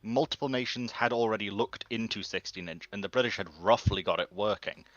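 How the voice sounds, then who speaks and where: A man's voice: on the low side, brisk, fairly bright tone, no echo, dull audio. A man taking part in a casual speculative panel discussion with an inquisitive manner.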